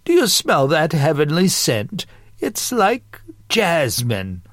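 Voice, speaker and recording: A man putting on a sassy, high-pitched granny. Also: huge pitch swings, slow pace, no echo, studio quality, heavily acted. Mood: delighted. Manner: folksy, teasing, gossipy. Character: sassy elderly Southern grandmother, playful, teasing, blunt.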